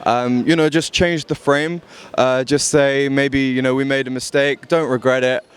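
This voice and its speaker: Smooth-voiced London man. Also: mid-range voice, flat delivery, moderate pace. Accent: London English. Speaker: interviewee. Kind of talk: street interview, casual advice.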